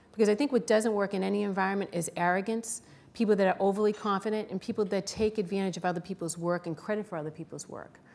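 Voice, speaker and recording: A woman's voice: mid-range voice, darkish tone. A middle-aged woman with a thick Boston accent, speaking plainly and candidly as a panelist. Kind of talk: panel talk.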